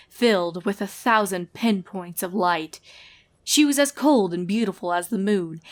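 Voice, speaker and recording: A woman reading scripted comic fiction as a storyteller, narrating the action between lines of dialogue. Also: mid-range voice.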